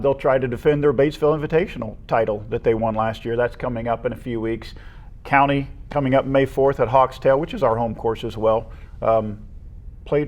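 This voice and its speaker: An American man with a mid-pitched voice talks as co-host of a sports program. His delivery is conversational and casual. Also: gravelly, a little mellow.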